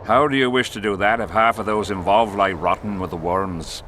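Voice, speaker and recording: A man's voice: on the low side, steady tone, moderate pace, background noise, close to an everyday voice. An actor playing a crude, arrogant king in a video game, blunt, scornful and commanding. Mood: haughty, skeptical. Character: crude, arrogant, imperious male warrior king.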